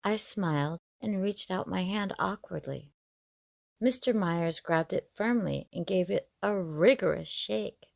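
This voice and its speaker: A woman reads a scripted story with measured expression. Her pace is slow and her slightly low voice has plenty of vocal fry. The sound is dry and close. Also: no echo. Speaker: horror story narrator. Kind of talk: scripted first-person story narration.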